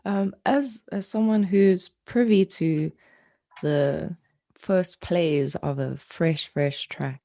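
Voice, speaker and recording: A woman's voice: fairly low pitch, takes it slowly, dark tone, no echo. A woman hosting a conversational interview, phrasing things a little tentatively. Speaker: podcast host.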